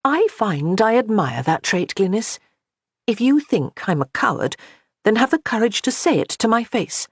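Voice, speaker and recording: A woman's voice: mid-range voice, very animated, normal speed, husky, no room sound, studio quality, voice pushed up. A reader voicing a proud, blunt young noblewoman who speaks firmly and plainly. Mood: earnest. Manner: firm and forthright.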